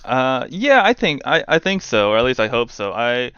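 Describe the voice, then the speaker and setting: A man's voice: mid-range voice, very animated, moderate pace, bright, forceful tone, smooth, no room sound, dull audio. A man around thirty, a guest in a casual interview, who talks in a wry, rambling way and fills his speech with "uh" and "like.". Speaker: guest, games news editor.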